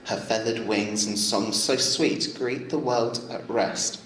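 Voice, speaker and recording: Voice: medium pitch, fairly even intonation, slow, fairly bright tone. An actor playing an earnest, bookish and stubborn young man, naive and a little defensive, in an audio drama. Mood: singsong. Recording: echoey, noisy ambience.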